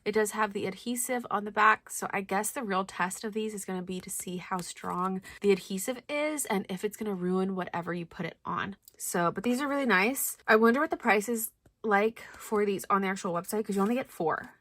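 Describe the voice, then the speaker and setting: Chatty, slightly hoarse woman unboxing. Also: mid-range voice, moderate pace, fairly bright tone, no echo. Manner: chatty, thinking aloud with many likes and ums. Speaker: planner vlogger. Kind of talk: casual unboxing chat.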